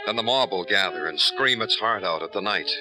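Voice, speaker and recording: A man's voice: fairly low pitch, expressive, fairly quick, over music, natural voice, poor audio. An actor playing a world-weary big-city police detective in an old radio noir drama, brooding and poetic in his dramatic delivery. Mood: brooding, wistful. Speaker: radio drama lead and narrator. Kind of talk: 1940s-50s radio noir acting and narration.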